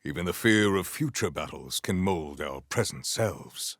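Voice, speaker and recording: A man voicing a stoic, honourable warrior in a video game, speaking in formal, stately phrases with a gravelly voice. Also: low-pitched, lively intonation, a bit bright, no room sound, clean studio sound, heavily acted. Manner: formal, stately, measured diction.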